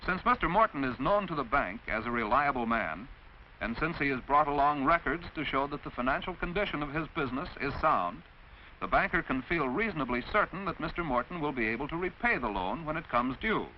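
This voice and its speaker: An American man reads a scripted mid-century instructional film narration in a didactic, measured, clearly enunciated way. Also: on the high side, expressive. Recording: dull, noisy vintage film soundtrack.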